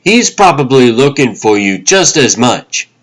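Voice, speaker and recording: A man's voice: medium pitch, very animated, measured pace. A man playing an exasperated young government agent in a comic dub, sarcastic and indignant. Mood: exasperated, urgent.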